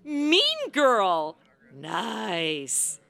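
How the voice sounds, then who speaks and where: A woman's voice: a little high, extremely slow, gravelly, over a noisy crowd, heavily acted. An actress playing a sarcastic, self-absorbed young woman in a comedic radio play, flippant and slangy. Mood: teasing. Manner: deadpan quips, slangy, flippant.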